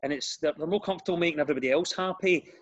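A man's voice: on the high side, very fast, muffled recording. A Glaswegian comedian in his thirties chatting casually, telling self-deprecating stories. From Scotland. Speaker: comedian guest. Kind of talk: casual chat.

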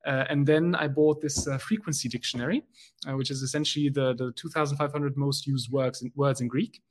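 A man from Belgium, speaking as a guest in a casual conversation, often pausing with fillers and restarting his sentences. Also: medium pitch, steady tone. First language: German. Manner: hesitant, many fillers and restarts.

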